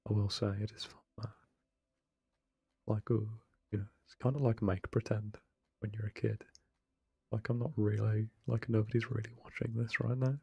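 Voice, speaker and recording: Young man for a relaxed let's play, soft-spoken and self-deprecating, flat and mellow. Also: fairly low pitch, normal speed, gravelly, husky, no room sound, highs cut off. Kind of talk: casual late-night gameplay commentary. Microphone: close desk microphone, no webcam.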